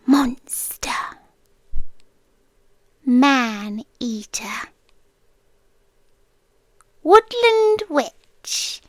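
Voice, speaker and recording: A woman performs a girlish forest deer spirit for an ASMR roleplay, with a British accent. Her high voice swoops playfully and moves very slowly. She is coy, sweetly menacing and possessive, drifting into whispers right at the mic. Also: no echo, full character voice. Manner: coy, teasing, breathy whispers mixed with soft speech. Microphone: close microphone.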